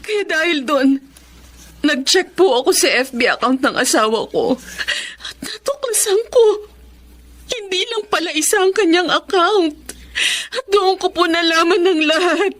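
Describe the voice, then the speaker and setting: A woman's voice: very high voice, animated, unhurried, fairly bright tone, heavily acted. A Filipino-accented woman playing a professional doctor who is also a wounded wife, in dramatic audio-drama style. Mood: anguished, tearful. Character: professional female doctor, composed but wounded betrayed wife.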